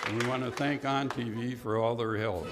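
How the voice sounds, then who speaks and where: A man's voice: medium pitch, fairly even intonation, normal speed, a loud crowd. A man announcing a radio play, reading scripted narration and credits. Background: loud music.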